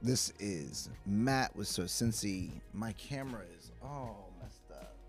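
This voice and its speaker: A man with a hoarse, stuffy voice, giving a casual livestreamed Bible teaching in a chatty, self-deprecating way. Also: on the low side, animated, slow, over music. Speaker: pastor.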